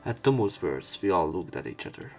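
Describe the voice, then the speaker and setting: A man with a fairly low, creaky voice reads a visual novel aloud as a let's play narrator. He drops in asides as he goes, and quiet music plays underneath. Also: sing-song, fairly quick.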